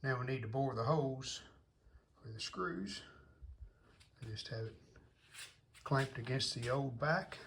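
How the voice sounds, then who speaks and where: A man's voice: medium pitch, a little slow, long pauses, a bit bright, slight background noise. A man narrating a hands-on restoration casually, thinking aloud in short, plainspoken phrases. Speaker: restoration video host.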